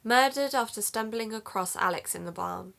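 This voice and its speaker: Actress as a bright, creaky-voiced podcast investigator. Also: female voice, a little high, moderate pace. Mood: businesslike. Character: earnest female investigative podcast host. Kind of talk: audio drama, conversational podcast.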